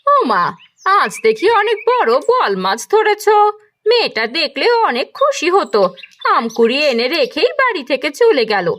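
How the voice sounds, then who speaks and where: A woman voicing a stingy, sharp-tongued village wife and mother in a cartoon, with a theatrical delivery. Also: high-pitched, very animated, normal speed. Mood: pleased. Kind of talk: cartoon dialogue acting.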